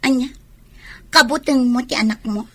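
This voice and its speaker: A woman's voice: sits high, moderate pace, bright, forceful tone, breathy edge, full character voice. A woman playing a scheming, possessive, sulky former girlfriend with an Ilocano Filipino accent in a radio drama. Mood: nagging.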